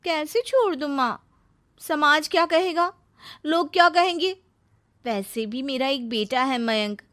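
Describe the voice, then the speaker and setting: Woman playing a whining young wife, high-pitched. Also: unhurried, fairly bright tone, no echo, studio quality. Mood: plaintive complaining. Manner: plaintive, complaining. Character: discontented young married daughter, whining and self-centred.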